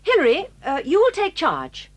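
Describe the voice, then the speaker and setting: Brisk, sardonic British schoolmistress, hugely expressive. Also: female voice, very high-pitched, heavily acted. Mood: stern. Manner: crisp, commanding, sardonic. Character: brisk, stern schoolmistress with dry sarcasm.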